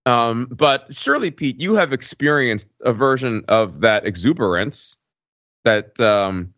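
A man's voice: mid-range voice, expressive, a little slow, a bit bright, no room sound, muffled recording. A man hosting a casual podcast discussion, prodding with questions and adding questioning tags to his sentences. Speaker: podcast host.

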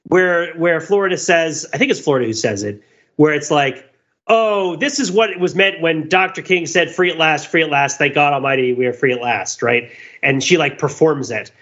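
Man, podcast host, slightly high voice, animated, halting rambling delivery, slightly muffled recording. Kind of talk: casual discussion of old television.

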